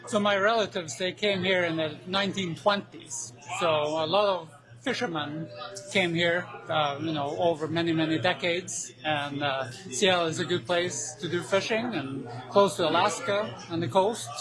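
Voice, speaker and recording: A man from Norway interviewed as a fan in a busy bar, relaxed and hesitant with fillers. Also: fairly high pitch, normal speed. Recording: noisy crowd around.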